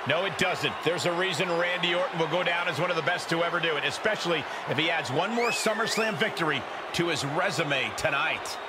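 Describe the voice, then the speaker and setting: A man's voice: on the high side, brisk, close to an everyday voice. A man acting as an earnest, hyped commentator in a wrestling video game. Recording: very loud arena noise behind.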